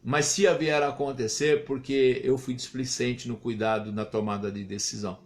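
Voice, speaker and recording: A man's voice: mid-range voice, flat delivery, normal speed. A man in his fifties, a pastor giving a morning devotional sermon in an earnest, reflective way, lifting his voice on exhortations. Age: 50s.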